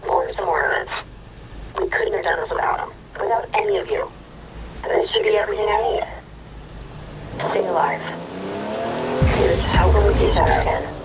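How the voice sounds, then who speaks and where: Earnest resistance leader, high voice, grave, game radio message, heavy noise. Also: male voice, animated, moderate pace, creaky, long pauses. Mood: grateful, resolute. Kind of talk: video game voice acting. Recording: very noisy, crackling radio transmission.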